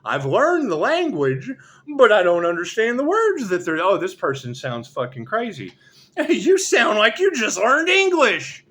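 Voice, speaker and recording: A man's voice: high voice, wildly swooping pitch, a little slow, a bit bright, slightly rough. A man rambling sarcastically as a tarot reader, swearing often and laughing between remarks. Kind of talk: rambling monologue.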